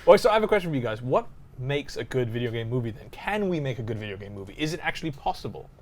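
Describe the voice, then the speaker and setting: A man's voice: mid-range voice, sing-song, rapid-fire, darkish tone. A man co-hosting a podcast in a casual group discussion, with some noise behind him. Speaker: podcast co-host.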